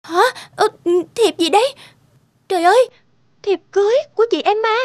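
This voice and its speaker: A woman playing an anxious, hesitant young woman in love in an animated drama. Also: very high-pitched, normal speed, no room sound, studio quality. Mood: startled, puzzled. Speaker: voice actor in an animated moral tale. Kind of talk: dubbed cartoon dialogue. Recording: music behind the voice.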